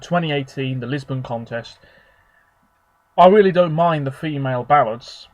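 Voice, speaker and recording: A man with a slightly hoarse, husky voice from a sore throat, chatting on his own channel. He talks in a rambling, relaxed way, giving his opinions one after another at a middle pitch. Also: no echo. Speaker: video host. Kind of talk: casual solo commentary.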